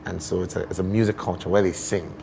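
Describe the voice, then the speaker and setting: Deep-voiced Ghanaian man, expressive and teacherly. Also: fast talker, vocal fry, a little hiss or hum. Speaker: guest poet and storyteller. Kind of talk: explanatory TV interview with rhetorical questions.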